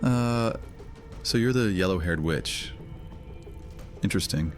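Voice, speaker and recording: Man acting as very deep-voiced brooding vampire outcast. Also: animated, takes it slowly. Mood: wary, sardonic. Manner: sardonic, hesitant fillers turning defiant. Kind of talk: dramatic fantasy audio roleplay. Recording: music under the voice.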